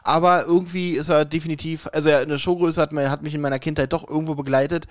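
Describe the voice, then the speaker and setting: Male co-host, forties, slightly higher voice, very animated pitch, close dry sound, casual banter. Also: fast talker, no room sound. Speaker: podcast co-host. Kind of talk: casual podcast chat.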